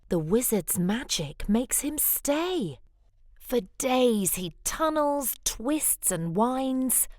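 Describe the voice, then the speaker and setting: Slow, lively woman storyteller. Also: mid-range voice, no room sound, clean studio sound. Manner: animated, deliberate phrasing. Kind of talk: expressive children's picture-book read-aloud.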